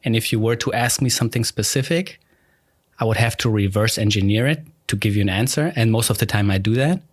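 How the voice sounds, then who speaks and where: A man's voice: on the low side, a little fast, dry recording, studio quality. A German man hosting a podcast, talking casually in a rambling, self-deprecating way with many restarts. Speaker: podcast host. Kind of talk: casual conversation.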